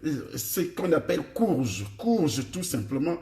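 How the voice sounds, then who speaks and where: A man's voice: a little high, sing-song, unhurried, mellow. A man with a Cameroonian French accent whose first language is Ewondo, a preacher teaching his congregation about food and health, repeating himself and questioning his listeners directly. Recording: echoey room.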